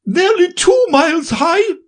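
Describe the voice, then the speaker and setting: A man voicing a shrill, imperious queen with loud outbursts. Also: expressive, takes it slowly, exaggerated voice.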